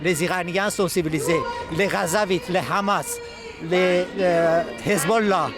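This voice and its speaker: A man at an outdoor protest speaking haltingly in a street interview, with many pauses and fillers. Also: sits high, fairly even intonation. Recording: crowd and music behind.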